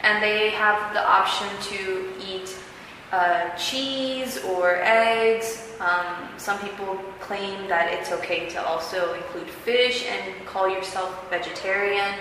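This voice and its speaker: A young woman, born in Peru and raised in the United States, answering interview questions about healthy eating in a relaxed, fluent, explanatory way. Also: medium pitch, slow. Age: young adult. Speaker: guest, video blogger. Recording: very echoey hall, faint noise.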